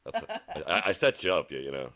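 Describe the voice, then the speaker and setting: A man with a very deep voice, a podcast guest rambling in a halting, self-mocking way. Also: big pitch swings, a loud crowd. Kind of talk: casual drinking chat.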